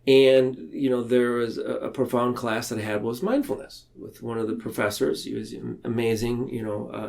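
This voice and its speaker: A man speaking as a podcast guest in a reflective, conversational way, earnest and halting, tending to ramble. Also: mid-range voice, creaky, darkish tone. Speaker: podcast guest, mental health counselor. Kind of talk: reflective conversational interview talk.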